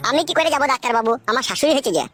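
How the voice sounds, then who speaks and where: A performer doing a young village man in a comedy cartoon, coarse and forever complaining. He talks very fast, over clearly audible music. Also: high voice, lively intonation. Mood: sheepish. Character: grumbling foul-mouthed young married son, resentful. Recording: music behind the voice.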